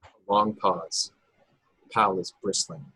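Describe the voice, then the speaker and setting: A man reading stage directions as scripted narration in a live table read, with a husky voice. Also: sits low, fairly even intonation, slow pace, pushed and bright, dry recording, low-quality recording. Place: remote video call, dry sound.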